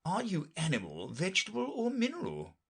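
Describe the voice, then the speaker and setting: A man's voice: medium pitch, wildly swooping pitch, slow pace, fairly bright tone, pitched above the performer's own voice, heavily acted. A man voicing a tired, grumpy great lion from a storybook, with a weary, growling drawl. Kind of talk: storybook character reading.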